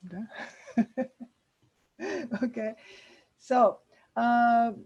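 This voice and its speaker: A woman presenting a workshop over a video call, halting and using many fillers. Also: fairly high pitch, lively intonation, vocal fry, darkish tone, slightly rough, highs cut off. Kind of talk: online meeting presentation.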